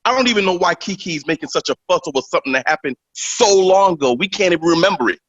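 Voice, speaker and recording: A man's voice: high-pitched, expressive, fast, fairly bright tone, highs cut off. An actor playing a cocky, defensive young male singer in a radio drama. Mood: dismissive.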